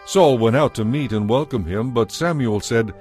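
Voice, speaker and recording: A man's voice: mid-range voice, moderate pace, darkish tone, faint music behind. A man narrating a dramatized scripture audiobook in a scripted, storytelling style.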